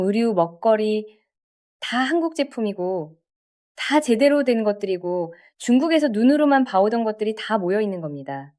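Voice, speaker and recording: Woman narrator with a lively, varied pitch. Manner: conversational, addresses listeners with rhetorical questions. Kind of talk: scripted storytelling narration.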